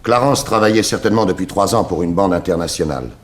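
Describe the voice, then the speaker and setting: A confident, methodical private detective played by a man in a 1960s radio drama. His voice is low, and he lays out his reasoning with firm assurance. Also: fast talker, close to an everyday voice. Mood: assured, explaining. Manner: assured, explanatory, crisp deductions. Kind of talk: 1960s French radio serial acting.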